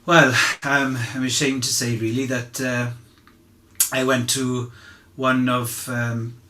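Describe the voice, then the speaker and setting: A man playing a candid, humble fisherman giving halting, conversational eyewitness testimony in a courtroom drama. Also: mid-range voice, little pitch movement, bright, forceful tone. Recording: roomy, echoing sound.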